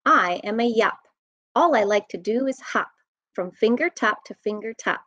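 An American woman, around her thirties or forties, reading a rhyming children's book aloud in an expressive, sing-song way. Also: on the high side, normal speed, no room sound. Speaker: children's storytime reader. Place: room at home. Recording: dull, muffled webcam audio.

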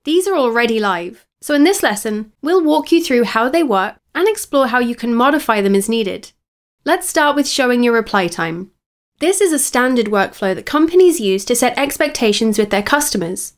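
Higher-pitched woman narrating a software tutorial. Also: lively intonation, normal speed. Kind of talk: scripted instructional narration.

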